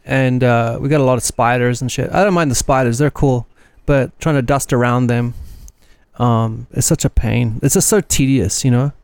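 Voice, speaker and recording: A man's voice: mid-range voice, fairly even intonation, normal speed, a little mellow, smooth, dry recording. A man with a South African accent, chatting as a guest on a podcast in a rambling way, full of filler words. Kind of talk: casual podcast chat.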